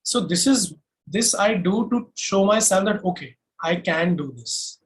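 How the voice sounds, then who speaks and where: A man's voice: high-pitched, lively intonation, measured pace. A man with an Indian accent answering interview questions in an emphatic, self-assured way, explaining things methodically point by point. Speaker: singer-songwriter and music producer guest.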